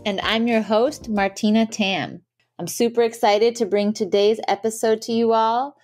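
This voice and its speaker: A woman's voice: a little high, steady tone, normal speed, a little mellow, faint music behind. A woman co-hosting a podcast, enthusiastic in casual conversation. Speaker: podcast co-host.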